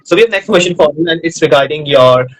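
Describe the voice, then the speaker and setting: A man's voice: a little high, animated, quick pace, poor audio. A man from North India hosting a live online interview, chatty and friendly, rambling with fillers as he asks his questions.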